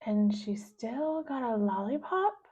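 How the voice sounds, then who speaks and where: A woman's voice: fairly high pitch, sing-song, takes it slowly, darkish tone, full character voice. A woman doing a sneering wicked old woman. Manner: mocking and grumbling. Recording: dull lo-fi recording.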